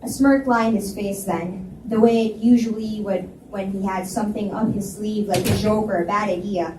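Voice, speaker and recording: A woman's voice: medium pitch, fairly even intonation, normal speed. A woman narrating a first-person story aloud at a live indoor reading. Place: indoor reading event at a library. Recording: echoey room, distant mic, faint noise.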